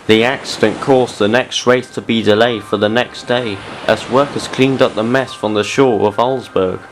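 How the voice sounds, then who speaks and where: A man's voice: mid-range voice, fairly even intonation, normal speed, a little hiss or hum. A man reading scripted story narration as the narrator of an audio drama.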